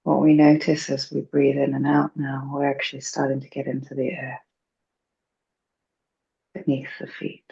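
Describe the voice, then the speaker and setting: Female meditation teacher. Soft-toned, husky delivery with some fry, soothing and unhurried. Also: very deep voice, completely flat delivery. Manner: gentle, hushed, unhurried phrasing. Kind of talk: slow, soothing guided meditation. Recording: dull, muffled lo-fi recording.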